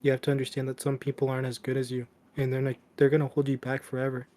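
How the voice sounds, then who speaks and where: Deadpan monotone young guy. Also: medium pitch, racing. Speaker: podcast co-host. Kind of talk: casual chat.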